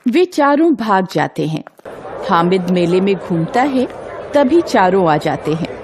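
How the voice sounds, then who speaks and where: Female narrator for scripted story: mid-pitched voice, unhurried, measured reading. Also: very animated, crowd noise, background noise.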